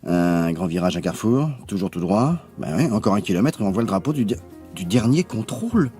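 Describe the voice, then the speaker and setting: A man's voice: on the low side, sing-song, fairly quick, warm tone, soft background music. Irritable, cocky businessman, swooping delivery. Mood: eager, sly. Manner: grumbling, colloquial, swearing. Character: irritable, cocky married businessman, impatient boss and sore loser. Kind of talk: French radio drama acting.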